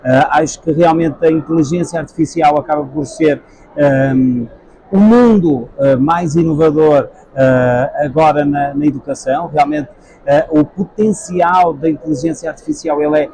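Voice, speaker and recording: Interview guest, a man in his 40s from Portugal with a European Portuguese accent, mellow voice, faint hall ambience behind. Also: fairly high pitch, a little hiss or hum. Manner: measured, frequent hesitations. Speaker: guest, edtech sales executive. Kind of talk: trade-fair interview answers. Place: busy expo hall.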